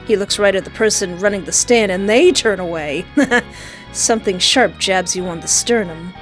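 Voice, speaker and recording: A woman's voice: medium pitch, normal speed, faint music behind, low-quality recording. A woman reading a visual novel aloud and breaking in with sarcastic jokes and squeals of mock panic. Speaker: let's play gamer.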